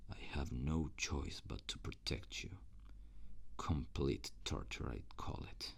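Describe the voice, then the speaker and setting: A man voicing a jaded, cruel demon guardian in an ASMR roleplay, with a dry, intimate, sardonic manner and a gravelly voice. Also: takes it slowly, heavily acted. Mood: bitter, weary. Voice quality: very deep, gravelly.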